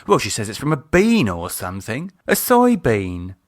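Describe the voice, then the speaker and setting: A man's voice: medium pitch, huge pitch swings, normal speed, dry recording, studio quality. A man voicing an exasperated middle-aged British wife and mother in an audiobook, colloquial, grumbling and sardonic, with a flirty streak.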